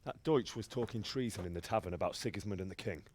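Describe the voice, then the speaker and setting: A man's voice: fairly low pitch, fast talker, a little mellow, no echo, lightly acted. An actor playing an earnest, defiant young man, a blacksmith's son, in a video game. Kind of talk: dramatic video game acting. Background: music.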